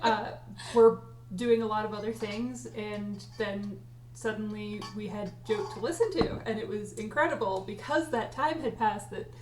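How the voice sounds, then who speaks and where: A woman's voice: medium pitch, moderate pace, a little mellow. A woman chatting casually on a group livestream as a cast member. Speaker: audio drama writer and lead voice actor. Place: indoors on a group livestream call. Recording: echoey, distant mic with hum and noise.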